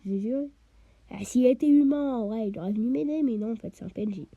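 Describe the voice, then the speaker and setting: A woman giving chatty let's play commentary while she plays, often dropping to a half-whisper and tossing in quick interjections, a bit stuffy with a cold. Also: fairly high pitch, no echo, phone-quality sound. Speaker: gamer.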